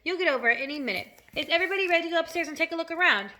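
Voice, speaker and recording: A woman's voice: very high voice, expressive, rapid-fire, bright, forceful tone, voice pushed up, full character voice. A woman voicing a kindly old male grasshopper in a storybook, polite and determinedly cheerful as he reassures others. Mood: reassuring.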